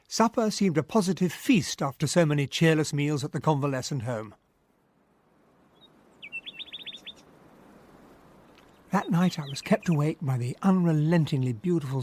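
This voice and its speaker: Husky English gentleman narrator. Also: on the high side. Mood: reflective. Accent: English, well-spoken. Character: gentlemanly English army captain narrating his wistful memoir. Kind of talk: scripted first-person radio drama narration.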